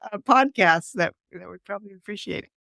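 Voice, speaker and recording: A woman's voice: mid-range voice, expressive, a little fast, creaky, bright, forceful tone, no echo, clean studio sound. A woman co-hosting in a casual, conversational style. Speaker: co-host. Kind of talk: casual chat.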